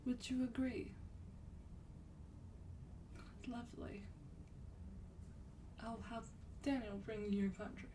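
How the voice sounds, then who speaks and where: A woman playing a sweet, teasing vampire demon in a gentle, breathy, partly whispered ASMR roleplay. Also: fairly high pitch, fairly even intonation, lightly acted. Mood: gracious, polite. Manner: gentle, polite, unhurried, coaxing. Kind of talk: soft-spoken ASMR roleplay. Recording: distant mic, clear background noise.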